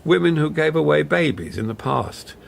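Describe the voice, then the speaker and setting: An actor playing a contrite, flustered English husband in his sixties, halting and apologetic, in a naturalistic radio drama. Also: fairly high pitch, sing-song, vocal fry, a little hiss or hum, exaggerated voice. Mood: rueful. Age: 60s.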